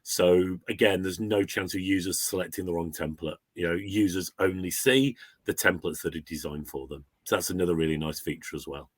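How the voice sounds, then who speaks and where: A man's voice: low voice, a little fast, few pauses, dry recording. A man speaking conversationally as a business guest, giving an informal testimonial. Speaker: recruitment agency client guest.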